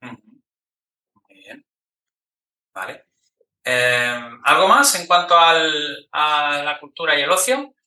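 A man hosting a casual interview, speaking in a relaxed, thoughtful way with frequent hesitations. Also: fairly high pitch, slow, pauses often. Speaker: podcast host interviewer. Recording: echoey room, distant mic.

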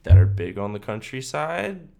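A man's voice: on the low side, very animated, normal speed, vocal fry, warm tone. A young American man co-hosting a podcast in a casual, laid-back, slangy and enthusiastic chat. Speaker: podcast co-host.

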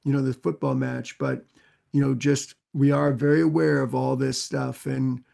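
A man's voice: mid-range voice, flat delivery, moderate pace, creaky, mellow. A man co-hosting a podcast in casual chat, hesitant and questioning, full of fillers and restarts. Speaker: podcast co-host.

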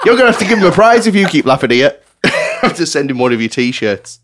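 Male podcast host, slightly high pitch, casual bantering delivery, prone to laughing through what he reads. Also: very animated, fast, a bit bright, a little hiss or hum. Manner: giggly, often breaking into laughter while reading. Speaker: podcast host reading out listener submissions.

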